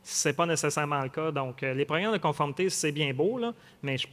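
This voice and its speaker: A man's voice: a little high, animated, a little fast, fairly bright tone, long reverb tail. A man speaking emphatically and informally as a panelist at a conference.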